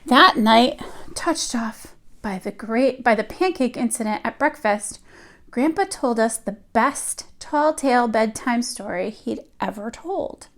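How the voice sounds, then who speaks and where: A woman with a high voice reads a picture book aloud to young children. She sounds like a teacher at storytime, shaping each phrase with deliberate expression. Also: animated.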